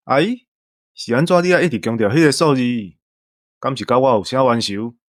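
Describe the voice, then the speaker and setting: A man's voice: medium pitch, animated, brisk, dry recording, clean studio sound. A man in his forties with a Taiwanese accent, co-hosting in scripted casual banter. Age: 40s. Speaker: co-host.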